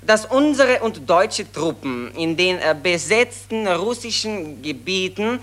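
Bright, complacent Austrian lieutenant. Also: male voice, high voice, very animated, takes it slowly, exaggerated voice. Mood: reading, self-righteous. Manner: chuckling, self-satisfied, drawling colloquial officer talk. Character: jolly, complacent Austrian army lieutenant reading newspapers aloud. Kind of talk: 1940s German radio drama acting.

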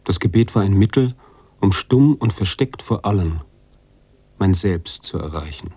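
Brooding writer-narrator, radio play, deep dark timbre, very husky, deliberate, literary. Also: male voice, expressive, dry recording, full character voice. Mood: introspective. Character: brooding male writer narrating his own story.

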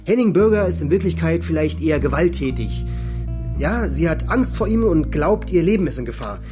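An actor playing an excitable young amateur sleuth in a naturalistic crime radio play, with slangy, conspiratorial talk. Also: male voice, fairly high pitch, fairly quick, music in the background, close to an everyday voice. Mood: conspiratorial, explaining.

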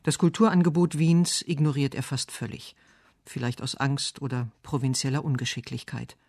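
A man's voice: a little high, darkish tone, dry recording, clean studio sound. A man reading a scripted literary radio essay as its narrator. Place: dry radio studio.

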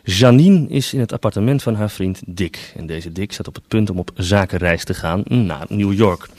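A man's voice: on the low side, moderate pace, darkish tone, no room sound. A man announcing and narrating a radio drama in scripted style.

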